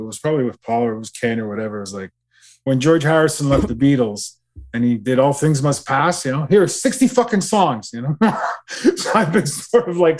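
A man from Canada, a podcast co-host, chatting casually with halting restarts and free swearing. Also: fairly high pitch, a little mellow. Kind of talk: casual chat.

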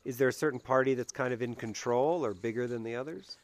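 A man's voice: medium pitch, fast talker. Curious man asking questions. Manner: curious, brief reactive replies. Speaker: interviewer. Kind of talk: casual interview.

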